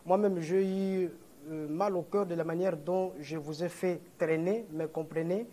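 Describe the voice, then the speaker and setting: A man's voice: high voice, fairly even intonation, a little slow, dark tone. A man with a Congolese French accent making a statement at a press conference as a political movement leader, earnest and often correcting and repeating himself. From DR Congo, Kongo Central.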